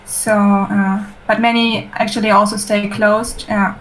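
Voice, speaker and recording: A woman from Germany on a video call, explaining things clearly. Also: medium pitch, little pitch movement, a little slow, slight background noise, poor audio. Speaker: interviewee.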